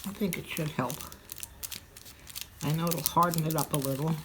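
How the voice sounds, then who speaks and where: An older woman hosting a craft tutorial, chatting and thinking aloud as she works, sounding a little stuffy and hoarse from a cold. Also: low voice, fairly even intonation, normal speed. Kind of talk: relaxed craft demonstration. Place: craft table at home, indoors. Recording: audible crinkling craft noise.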